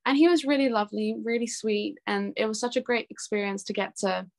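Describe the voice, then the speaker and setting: A woman's voice: medium pitch, fairly quick, darkish tone. Chatty young woman in an interview. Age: young adult. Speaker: musician interviewee. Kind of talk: casual interview chat.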